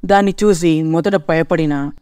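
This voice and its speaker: A man's voice: a little high, a little slow, darkish tone, clear, resonant tone, no echo, studio quality. A man narrating a scripted cartoon story as its storyteller.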